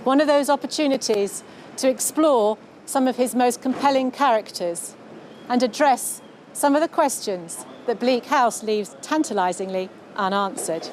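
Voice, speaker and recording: A woman author giving a measured, articulate scripted presentation about her own book, speaking outdoors on city streets. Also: on the high side, darkish tone, slight background noise. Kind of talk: scripted promotional narration to camera.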